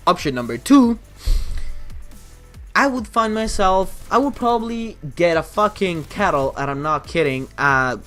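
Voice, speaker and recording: Young Italian-accented guy for a casual Q&A vlog; chatty, profane, keeps restarting sentences at a middle pitch. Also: a bit bright. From Italy. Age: young adult. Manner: rambling, profane, frequent restarts. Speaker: YouTuber and streamer.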